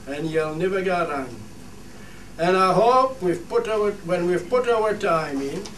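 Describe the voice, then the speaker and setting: Man acting a slow, proud Cumbrian fell shepherd, roomy. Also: sits high. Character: proud, plain-spoken Cumberland fell shepherd making a speech. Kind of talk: dialect radio play, rustic speechmaking. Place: studio theatre. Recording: roomy stage, distant mic, faint noise.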